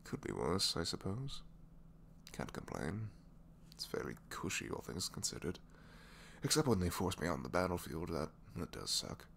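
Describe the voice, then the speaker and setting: A man's voice: low voice, very animated, moderate pace, creaky, fairly bright tone, a little hiss or hum, full character voice. A man playing a reluctant, secretly kind supervillain inventor in an ASMR roleplay, hesitant, wry and self-deprecating, rambling and sometimes dropping toward a whisper. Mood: weary, wry. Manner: hesitant, wry, rambling, trailing tag questions, sighs, drops to whispers. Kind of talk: ASMR roleplay audio drama, one-sided conversation.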